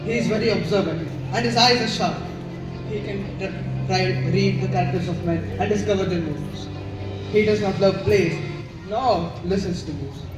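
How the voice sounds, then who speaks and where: A proud, suspicious Roman ruler played by a teenage boy speaks in a high male voice with an Indian accent. It is school stage acting, heard from far off in a very echoey space with music behind. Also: moderate pace, natural voice. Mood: wary, proud. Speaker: student actor in a school play.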